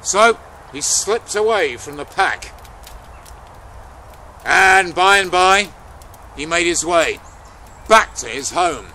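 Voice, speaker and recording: A man telling a folktale aloud in a dramatic, deliberate way, with pauses between phrases. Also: high-pitched, lively intonation, sharp tone, slight background noise. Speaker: storyteller. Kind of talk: oral folktale storytelling, unscripted.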